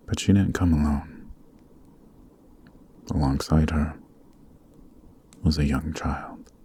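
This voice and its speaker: A man playing a noble, gentle demon king in an ASMR roleplay, speaking in a measured, soothing near-whisper. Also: extremely low pitch, steady tone, a little hiss or hum, exaggerated voice. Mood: fond. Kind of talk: ASMR roleplay, soft dramatic acting.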